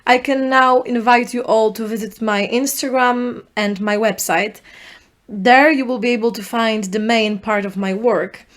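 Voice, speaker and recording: A young woman with a Polish accent, a native Polish speaker, hosting a solo podcast in an earnest, reflective way, speaking in short halting phrases. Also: fairly high pitch, fairly even intonation. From Poland. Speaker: podcast host.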